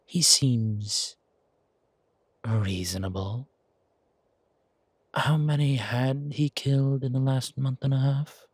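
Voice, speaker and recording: A man playing a genteel, patronizing, quietly menacing leader in an audio drama, husky and gravelly, softly chiding in a measured way. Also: mid-range voice, no echo. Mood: persuading. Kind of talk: audio roleplay drama acting.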